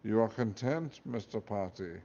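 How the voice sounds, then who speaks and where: A man voicing a rude male magical talking hat, high and loud in a rough stab at a Scottish voice. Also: lively intonation, a little slow. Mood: mocking. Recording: dull lo-fi recording.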